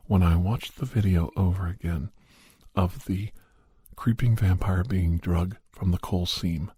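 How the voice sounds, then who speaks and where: A man narrating a first-person ASMR story softly and deliberately, in halting phrases, often slipping into a whisper. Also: low-pitched, no room sound. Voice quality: soft, breathy, often dropping into whisper. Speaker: podcast host narrating a story in character as a doctor.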